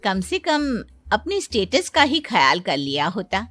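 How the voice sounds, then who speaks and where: A woman's voice: mid-range voice, wildly swooping pitch, measured pace, fairly bright tone, no echo, soft background music. A woman voicing a snobbish, disapproving society woman in a cartoon story, with a condescending manner. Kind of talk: Hindi cartoon story dialogue.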